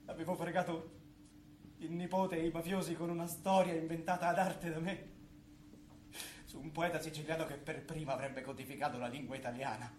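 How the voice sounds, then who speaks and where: A man's voice: fairly high pitch, level tone, brisk, natural voice. An actor playing a bitter, megalomaniac man in a grandiose, declamatory stage monologue. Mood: smug, secretive. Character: megalomaniac, bitter man who claims to know every secret. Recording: echoey stage recording with background noise.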